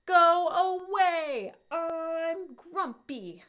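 A young woman voicing grumpy storybook animals with drawn-out, emphatic grumbling. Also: very high voice, expressive, fairly bright tone, reverberant, pitched above the performer's own voice, exaggerated voice.

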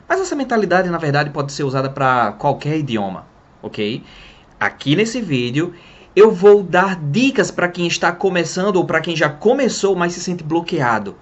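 A young man from Brazil explains language learning straight to the camera in a lively, sing-song way. His voice has a middling pitch that swoops up and down with emphasis. The sound is a little dull and lo-fi, as from a webcam in a small room. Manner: lively, talks with his hands. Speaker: English teacher. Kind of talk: casual spoken lesson to camera. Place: small room in front of a printed wall.

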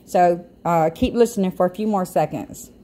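A woman's voice: fairly low pitch, normal speed, dark tone. A woman with a Southern US accent giving casual, emphatic and incredulous opinion commentary to her viewers. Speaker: YouTube commentator.

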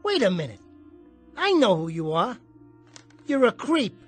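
An actor playing a bitter, washed-up small jockey in a radio drama, husky and combative, talking in streetwise slang. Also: male voice, very high voice, very animated, measured pace, faint music behind, full character voice. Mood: belligerent.

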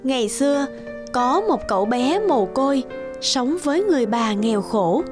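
A woman's voice: sits high, lively intonation, moderate pace, music in the background. A woman reading scripted narration for a children's fairy tale.

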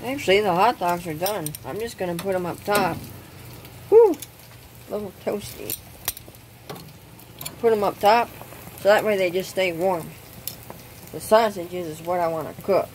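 Slow, hesitant woman cooking outdoors. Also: on the low side, expressive, darkish tone, a little hiss or hum, poor audio. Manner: unhurried, hesitant with frequent ums. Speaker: cooking channel host. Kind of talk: casual cooking demonstration. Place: outdoors at a gas grill.

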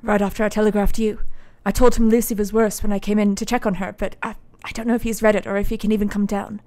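A woman's voice: medium pitch, flat delivery, fast talker, darkish tone, no echo, close to an everyday voice. A woman playing a grave, heartsick Victorian asylum doctor in a radio play, with formal, reflective delivery. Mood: concerned. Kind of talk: radio play acting, diary narration and dialogue.

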